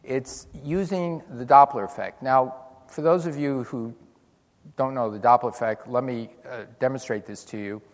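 A man's voice: medium pitch, normal speed. Older man lecturing in a big hall. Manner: animated storytelling with dry humor. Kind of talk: physics lecture to an audience. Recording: long hall reverb.

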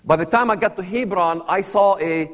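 A man's voice: high-pitched, normal speed, fairly bright tone, in a large hall. A man telling his personal story to an audience, earnest and full of rhetorical questions. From Palestinian territories. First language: Arabic. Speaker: speaker giving testimony. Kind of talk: personal testimony talk to an audience.

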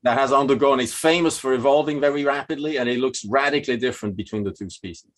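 A man with a Swiss accent moderating an academic panel's questions, halting with many restarts. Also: medium pitch.

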